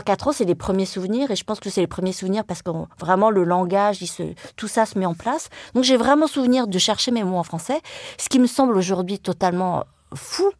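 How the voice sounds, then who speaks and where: A woman's voice: medium pitch, a little mellow, dry recording, clean studio sound. Thoughtful French woman, native Vietnamese. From France. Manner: thoughtful, hesitant, with frequent fillers and restarts. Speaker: journalist and writer. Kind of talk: reflective conversational interview.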